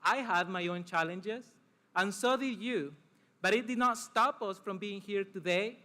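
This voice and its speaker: Bright-voiced young man. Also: sits high. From El Salvador. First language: Spanish. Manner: earnest, measured, short phrases with pauses. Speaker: student commencement speaker. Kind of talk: formal prepared speech.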